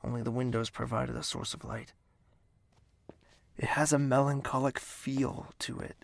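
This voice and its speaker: A lovelorn, sleepless castle dweller, played by a man, narrates a fantasy audio drama. His mid-pitched voice is breathy and husky, and he lingers slowly over short, pensive phrases full of melancholy. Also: a bit bright, exaggerated voice. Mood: pensive, wistful.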